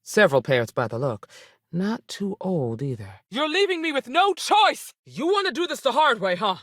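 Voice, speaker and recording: A woman's voice: mid-range voice, very animated, normal speed, a bit bright, dry recording, studio quality. An actress playing a tough, dutiful woman space ranger in a video game, speaking in a plain, firm manner. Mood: serious, matter-of-fact, then commanding. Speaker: video game character voice actor. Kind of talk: game dialogue lines.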